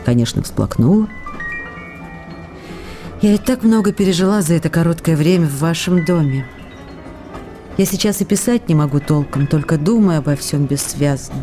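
A woman's voice: low-pitched, expressive, normal speed, long pauses, warm tone, soft background music. An actress in a radio drama reading the tender, playful love letters of a young woman actress, in an intimate, questioning way.

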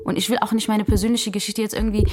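A woman's voice: mid-range voice, flat delivery, rapid-fire, a little mellow. A woman talking reflectively as an activist in an interview, emphatic and often seeking agreement with short tag questions. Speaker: migrant activist.

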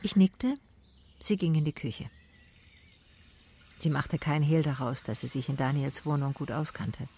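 An actress playing a reflective, probing private detective in a radio drama, speaking in a measured, matter-of-fact way. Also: female voice, sits low, warm tone, husky, heavily acted. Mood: observant, matter-of-fact. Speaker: actor and narrator in a radio play. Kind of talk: first-person radio drama narration and dialogue.

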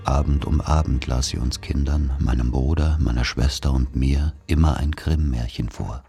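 Male narrator: very deep voice, reflective, recalling boyhood, literary radio play, faint music. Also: fairly even intonation, normal speed, gravelly, mellow. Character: reflective grown man recalling his boyhood.

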